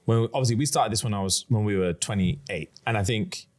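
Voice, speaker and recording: A man's voice: on the low side, brisk. A man in his thirties co-hosting a podcast, talking in a loose, rambling, frank way and swearing casually. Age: 30s. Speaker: podcast co-host. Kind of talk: casual chat.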